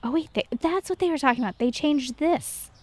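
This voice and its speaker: A woman's voice: high-pitched, brisk. An American woman doing casual, chatty gameplay commentary, rambling and self-deprecating as she tells personal stories. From Texas, United States. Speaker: gaming video host.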